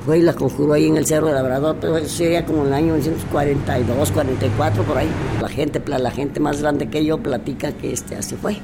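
A gravelly-voiced man with an Oaxacan accent recounts old village stories, hesitating and restarting often. His voice sits a little high, with traffic and street noise around him outside. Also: steady tone, fast talker, few pauses. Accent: Oaxacan Mexican Spanish. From Oaxaca, Mexico. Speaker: interviewee. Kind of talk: recounting local lore.

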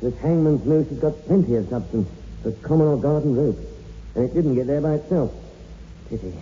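An actor plays a level-headed man who investigates hauntings in a radio chiller. He talks rapidly and firmly, full of probing questions. The recording is dull with faint hiss. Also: medium pitch, mellow, natural voice. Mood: sceptical, firm. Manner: matter-of-fact, inquisitive, assertive. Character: brisk, rational male psychic researcher. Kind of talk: 1960s radio horror acting.

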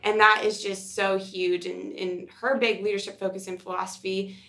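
A woman's voice: mid-range voice, flat delivery, normal speed, vocal fry, pushed and bright. A woman co-hosting a podcast, chatting in an earnest, emphatic and conversational way. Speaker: podcast co-host.

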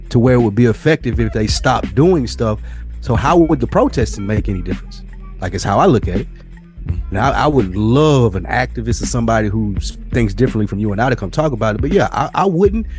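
A man's voice: mid-range voice, darkish tone. A man co-hosting a podcast, arguing his opinions casually and bluntly, with plenty of swearing. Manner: blunt, profane, argumentative. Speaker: podcast co-host.

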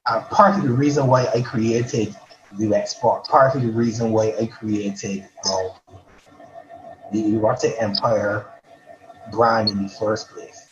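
A man from Barbados, a poet guest in a casual interview, talking haltingly with many restarts and repeated words. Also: fairly low pitch, steady tone, muffled recording.

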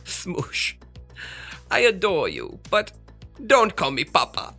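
An actor playing a flamboyant, fussy, excitable radio star with emphatic, theatrical delivery in a children's audio drama. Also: mid-range voice, slow pace, creaky, music in the background, full character voice. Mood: grand, affectionate.

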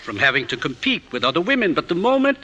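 Self-assured male doctor, old radio drama: probing, bright, animated, reverberant. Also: fairly high pitch, fast talker, husky, heavily acted. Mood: probing, firm. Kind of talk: 1950s radio drama acting. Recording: reverberant vintage radio broadcast.

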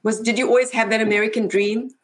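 A woman's voice: a little high, fairly even intonation, fast. A woman hosting an interview show, introducing topics and putting questions to guests. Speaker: host.

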